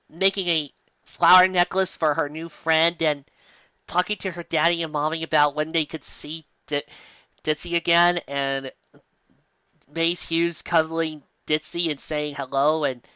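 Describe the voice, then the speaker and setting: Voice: medium pitch, sharp tone, clean tone, no echo, lo-fi sound. A sarcastic, exasperated commentator reading fan fiction aloud and breaking off into theatrical asides. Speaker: reader and commentator.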